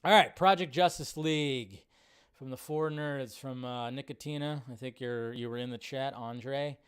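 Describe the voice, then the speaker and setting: A man's voice: medium pitch, moderate pace, fairly bright tone, clean tone. A man hosting a live stream with casual, enthusiastic, rambling commentary over a video.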